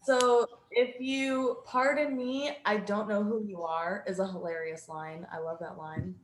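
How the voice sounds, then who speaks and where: A woman's voice: mid-range voice, steady tone, a little slow, slight background noise. A woman hosting a podcast, chatting casually in informal, slangy banter. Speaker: podcast host. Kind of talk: casual chat. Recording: roomy echo.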